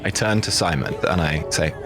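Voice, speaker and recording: A man's voice: deep voice, brisk. A man playing a tabletop game, explaining his character's moves in casual chat. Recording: music in the background.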